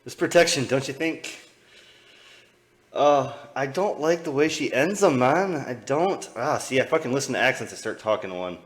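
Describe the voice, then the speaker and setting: A man's voice: mid-range voice, lively intonation, normal speed. A young man from the American Midwest with a Midwestern accent, rambling loosely and swearing as he comments on old clips during a live stream.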